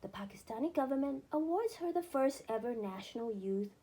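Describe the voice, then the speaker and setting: A woman narrating a children's book aloud in an expressive, deliberate storytelling manner with emphatic phrasing. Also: on the high side, husky.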